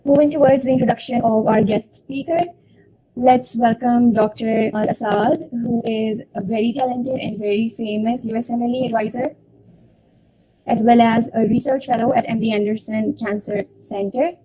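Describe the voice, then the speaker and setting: Slow formal young woman host. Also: fairly high pitch, steady tone, warm tone, low-quality recording. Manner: slow, deliberate, formal phrasing. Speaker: event host for a student association. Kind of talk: formal welcome and introduction.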